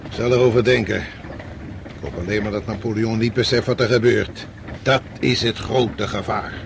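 An actor playing a weary, authoritative commanding general in a radio drama, explaining in a measured way. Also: male voice, on the low side, creaky, background noise. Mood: reluctant, worried. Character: weary commanding general of a retreating Russian army.

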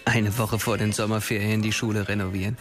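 Radio-drama role: vicious teenage ringleader played by a man, lowish voice, barking curt orders over music. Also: little pitch movement, fairly quick, close to an everyday voice. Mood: menacing. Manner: curt, commanding. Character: brutal teenage schoolboy bully, bossy ringleader of an attack. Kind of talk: German radio drama acting.

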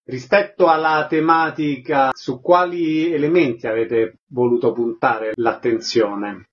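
A man's voice: mid-range voice, measured pace, dull audio. A man presenting a radio programme, announcing and asking questions in a formal, concise way. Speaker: radio host, interviewer. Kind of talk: scripted radio presenting and interviewing.

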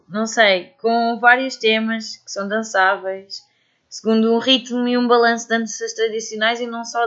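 A woman's voice: on the high side, fairly bright tone, highs cut off. A woman with a European Portuguese accent hosting a radio show, chatting casually between songs and thinking aloud with plenty of fillers.

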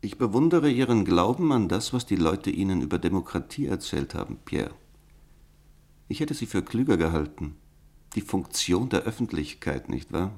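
A sardonic, animated cynic. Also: male voice, low voice, moderate pace, heavily acted. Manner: sardonic, rhetorical, pointed questions. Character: worldly cynical man, probing and lecturing his friend. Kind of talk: German radio play dialogue.

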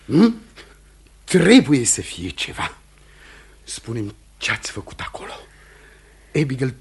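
An actor playing an anxious, domineering middle-aged Puritan pastor in a theatrical radio drama, urgent and imploring. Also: male voice, medium pitch, husky. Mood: stern, suspicious. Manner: fretful, urgent, imploring, then interrogating.

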